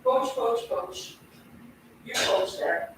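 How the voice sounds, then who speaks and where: A woman's voice: very slow, recorded from a distance, a little hiss or hum. A young woman from Turkey chatting with her live stream audience while she cooks, giggly and talkative, speaking to the food as she goes. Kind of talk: casual live stream chat while cooking. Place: home kitchen. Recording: echoey room, mic set back.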